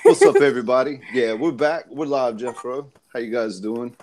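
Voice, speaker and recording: A man's voice: mid-range voice, big pitch swings, normal speed, a little mellow. A man hosting a live stream, joking loosely, swearing and laughing often. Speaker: stream host. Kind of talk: casual chat.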